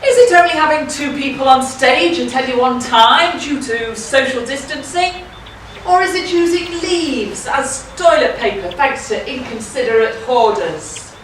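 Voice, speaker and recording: A woman playing a boastful, dim male outlaw in a stage pantomime, with loud emphatic delivery. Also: high voice, unhurried. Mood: comic bluster. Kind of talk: broad pantomime stage acting. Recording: echoey hall, distant mic, crowd and noise.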